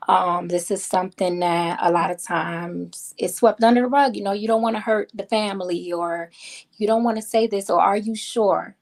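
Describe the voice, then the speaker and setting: A woman's voice: mid-range voice, steady tone, moderate pace, vocal fry. A woman from Haiti, guest author on a talk show, speaking candidly and reflectively from personal experience.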